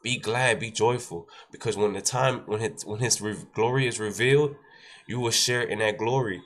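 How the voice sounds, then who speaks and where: A man's voice: lowish voice, normal speed, a bit bright. A man with a stuffy nose hosting a day-trading livestream, repeating himself and talking straight to his chat. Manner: repetitive, exhorting, addresses chat directly. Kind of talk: casual livestream talk with a short devotional.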